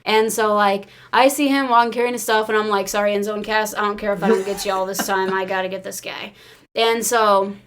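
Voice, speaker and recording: An American woman casually telling excited personal stories in an animated, giggly way, peppering her speech with "like". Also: medium pitch, a little fast, a little hiss or hum. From Illinois, United States. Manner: animated, giggly, says "like" often. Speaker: podcast crew member telling fan stories.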